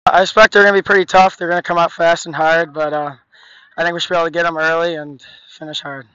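A teenage boy, a high school lacrosse player, chatting cheerfully and casually after a game outdoors at night with a handheld mic. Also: little pitch movement, fast talker, pushed and bright, clear, resonant tone, no room sound, lo-fi sound. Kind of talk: post-game interview. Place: outdoor sports field at night.

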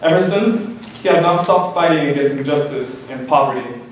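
A young man earnestly reading a prepared introduction of a speaker at a podium, with some halting fillers. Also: fairly high pitch. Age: young adult. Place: large lecture hall, indoors. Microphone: podium mic, recorded by a far camera. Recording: echoey hall from far off.

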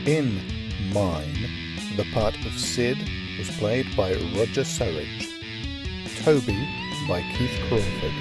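A man giving a scripted announcement as the announcer of a radio play. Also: fairly low pitch.

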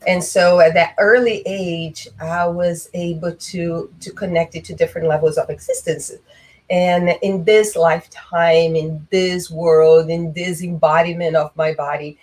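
A middle-aged woman from Brazil, a guest on a video call, speaking slowly and reflectively with many pauses and fillers. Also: on the low side, steady tone, a little mellow. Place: small room indoors. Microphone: webcam on video call.

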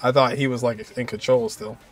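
A young man hosts a casual reaction video, excited and full of slangy exclamations. His voice sits at an ordinary middle pitch, with music playing faintly behind him. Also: brisk. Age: young adult. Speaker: game reaction video host.

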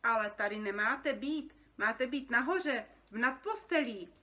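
Žena hrající nervózní opeřenou bytost s vyšším, jasným hlasem. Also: female voice, a little high, lively intonation, pushed and bright. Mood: alarmed. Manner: agitated, complaining, stammering when shocked. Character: nervous, overworked feathered dream-being, easily alarmed, plaintive. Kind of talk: storybook character reading.

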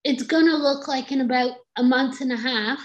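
A woman's voice: high voice, normal speed, lo-fi sound. A woman panelist chatting eagerly on a video call. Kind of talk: casual video call chat.

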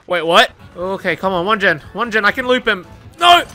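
Excitable swearing young man gaming. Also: high voice, moderate pace, soft background music. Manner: excitable, swearing, yelling at the game and laughing. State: tired, says his voice is going. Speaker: gaming YouTuber. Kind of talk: live gameplay commentary.

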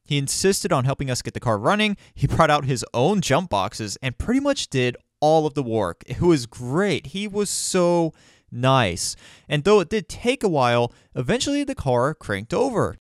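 A man narrating a recap voice-over in a relaxed storytelling way. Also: on the high side, normal speed, dry recording, clean studio sound. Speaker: vlogger.

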